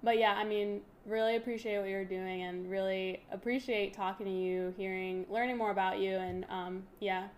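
A woman's voice: medium pitch, fairly even intonation, moderate pace, hardly any pauses, slight background noise. A woman hosting a podcast, talking in an earnest, chatty way in a casual interview. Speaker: podcast host.

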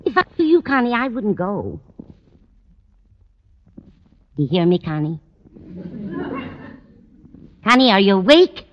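A woman's voice: medium pitch, very animated, normal speed, lightly acted. An American actress playing an absent-minded, fussing older landlady in a 1940s radio sitcom, with a dithering, chatty manner. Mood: insistent. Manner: dithering, scatterbrained, fussy. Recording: noisy, dull old radio recording.

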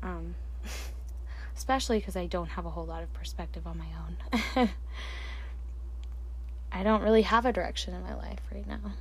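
A woman hosting a solo podcast alone at night, talking slowly and calmly into a microphone in a soft, soothing voice with quiet laughs. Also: lowish voice, husky, poor audio. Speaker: podcast host. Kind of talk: solo reflective podcast monologue. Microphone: handheld or desk microphone, alone at night.

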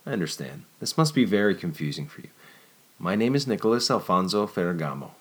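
A man reading aloud, voicing a calm, courtly male ghost in a low, soft, measured voice. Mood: serene.

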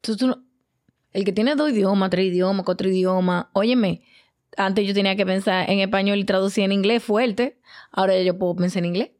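Woman, podcast host, mid-pitched voice, lively and playful, affectionate. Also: a little fast. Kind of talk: casual podcast chat.

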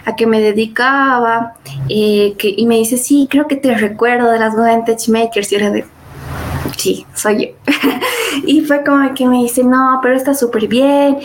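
Casting: young woman guest on a podcast, slightly high voice, chatty storyteller, faint noise behind. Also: fairly even intonation, unhurried. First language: Spanish. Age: young adult. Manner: chatty, many fillers and quoted asides. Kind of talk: casual interview storytelling.

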